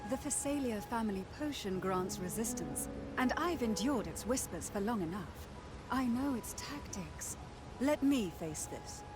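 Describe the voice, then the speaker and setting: A solemn, resolute game heroine. Her voice is slightly high and her words grave and formal, with music clearly behind them. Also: lively intonation, unhurried. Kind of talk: voiced game cutscene dialogue.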